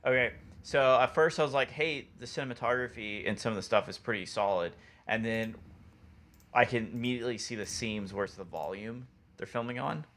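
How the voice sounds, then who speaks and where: A man's voice: mid-range voice, fairly quick, a bit bright. A man talking casually as a podcast co-host. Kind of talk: casual chat.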